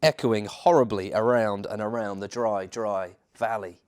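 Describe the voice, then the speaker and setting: A man reading a children's bedtime story as a church minister, theatrical, with dramatic pauses and emphasis. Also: lowish voice, steady tone, darkish tone.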